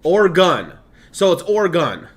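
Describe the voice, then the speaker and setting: A man's voice: high-pitched, very animated, normal speed. A man in his thirties streaming a game, chatting casually with a mix of Midwestern, Southern and Californian accent, laughing often and reading on-screen text aloud. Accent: blended Midwestern, Southern and Californian. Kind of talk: casual gameplay stream commentary.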